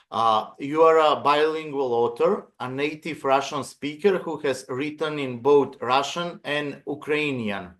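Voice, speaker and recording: A man interviewing a writer, reading prepared questions in formal, measured phrasing. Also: medium pitch, a bit bright.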